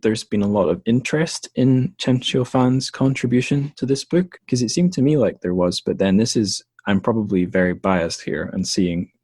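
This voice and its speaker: A man hosting a book podcast, talking in a relaxed, rambling way with fillers and self-corrections. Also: lowish voice, normal speed, few pauses, breathy edge, no echo. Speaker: podcast host. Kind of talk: casual interview chat.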